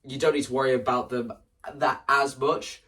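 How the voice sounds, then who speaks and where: A man's voice: medium pitch, little pitch movement, normal speed, bright, forceful tone, recorded from a distance. A man giving a rambling, explanatory vlog-style talk, acting out scenes as he describes a stage production. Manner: rambling, acts out scenes and quotes lines as they go. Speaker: content creator. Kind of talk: animated explanatory vlog about staging a musical.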